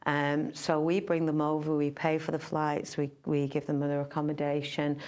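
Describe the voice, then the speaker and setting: Low, flat-voiced chatty woman singer. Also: moderate pace. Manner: chatty, rambling, many fillers and restarts. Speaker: entertainer and singer. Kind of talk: casual interview chat.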